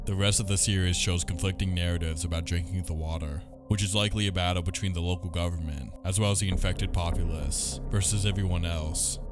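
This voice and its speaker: Low-voiced man narrating over loud music. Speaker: video essay narrator. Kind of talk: scripted narration.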